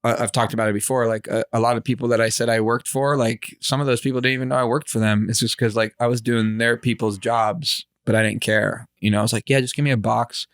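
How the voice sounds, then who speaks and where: A man's voice: medium pitch, fairly even intonation, fast talker, hardly any pauses, dry recording, clean studio sound. A man, chatting casually as a podcast co-host in laid-back, slangy talk. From grew up in Los Angeles area, California, born in Israel.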